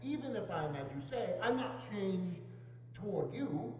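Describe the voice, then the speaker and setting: A man performs a miserly man in a stage reading, defensive and full of regret, his pitch swinging widely. The sound is dull and echoes around a hall. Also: expressive, unhurried. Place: church hall, dramatic reading before microphones. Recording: dull, noisy, echoing church hall.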